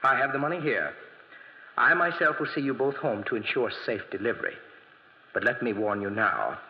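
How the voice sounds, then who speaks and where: A man's voice: medium pitch, moderate pace, close to an everyday voice. A man playing an officious, solicitous banker in a 1940s radio drama, speaking formally and insistently. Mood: solicitous, cautioning. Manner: formal, cautioning. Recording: muffled vintage radio recording with long reverb.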